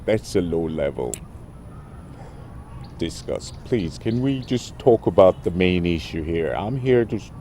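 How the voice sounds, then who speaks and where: Slow, deep-voiced, haughty royal. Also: male voice, lively intonation, dark tone, slight background noise. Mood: formal, restrained. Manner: pompous, halting phrases. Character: haughty, short-tempered royal man, courteous to his equals. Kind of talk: film drama acting.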